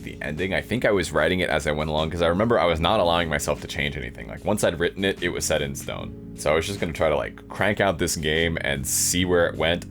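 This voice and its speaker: A man's voice: deep voice, a bit bright. A man doing casual, dry, self-deprecating commentary as he hosts a playthrough of his own game. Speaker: host playing own old visual novel.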